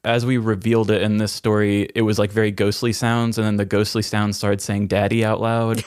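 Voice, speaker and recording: A man's voice: on the low side, level tone, brisk, a little mellow, smooth, dry recording, studio quality. A man hosting a comedy podcast, reading out stories and joking casually with friends. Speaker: podcast host reading out game scenarios.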